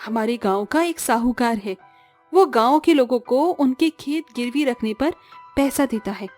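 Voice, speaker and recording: A woman's voice: a little high, animated, unhurried, darkish tone, faint music behind. A woman voicing an earnest young village daughter-in-law in a cartoon story, speaking in a pleading, sincere way. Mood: reassuring.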